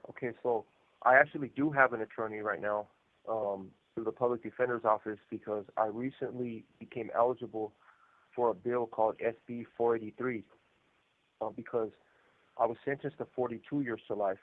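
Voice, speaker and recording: A man's voice: medium pitch, steady tone, moderate pace. An American man calling from prison, telling his own story candidly over the phone. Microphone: telephone. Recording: muffled narrow-band prison phone line.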